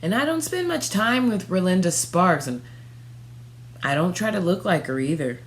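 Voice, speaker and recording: Low-pitched woman reading a children's story. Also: animated, normal speed. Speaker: storytime reader. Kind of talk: children's picture-book read-aloud. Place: small furnished room at home, indoors. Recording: faint background noise and hum.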